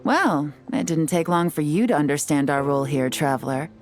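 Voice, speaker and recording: A composed female spy with a low voice, sly and self-assured, teasing as she talks through her schemes. Soft game music sits faintly behind her. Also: animated, normal speed. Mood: explaining. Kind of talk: video game voice acting.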